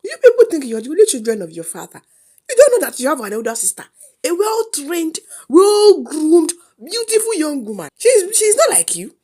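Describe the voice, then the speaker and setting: A woman's voice: extremely high pitch, big pitch swings, normal speed, dark tone, pitched above the performer's own voice. A woman voicing an angry, mocking drunken uncle in a storytelling performance, scolding with indignant questions.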